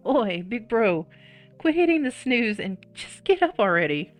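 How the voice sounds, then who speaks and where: Nagging young visual novel sibling voiced by a woman, insistent and bossy, raised pitch, light music. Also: very animated, a little slow. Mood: annoyed scolding. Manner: scolding, insistent. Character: impatient, bossy young redheaded sibling of a teenage boy. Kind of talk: visual novel character read-aloud.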